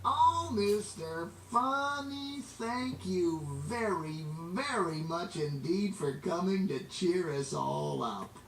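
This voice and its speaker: A man's voice: sits high, animated, slow, gravelly, hardly any pauses, a bit bright, pitched above the performer's own voice, exaggerated voice. A man voicing an apologetic, good-natured zookeeper from a children's storybook, with emphatic delivery. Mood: giggly, grateful. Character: apologetic, giggly, grateful male zookeeper. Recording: roomy, distant, noisy amateur recording.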